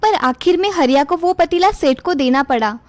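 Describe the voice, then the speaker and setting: A woman's voice: high voice, lively intonation, normal speed, darkish tone, dry recording. A woman reading a scripted moral tale for children as the narrator.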